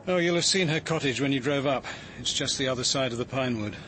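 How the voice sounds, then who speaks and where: A man's voice: mid-range voice, fast, a bit bright, slight background noise, lightly acted, poor audio. An actor playing a composed, thoughtful male detective in a radio drama, articulate and precise in his speech. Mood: composed, reasoning. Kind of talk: acted British radio drama dialogue.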